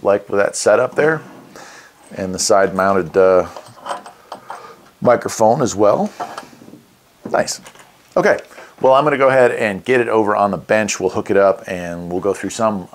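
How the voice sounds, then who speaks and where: A man's voice: lowish voice, a little hiss or hum. A man hosting a casual product walkthrough, easygoing and thinking aloud as he goes. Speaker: radio reviewer, channel host.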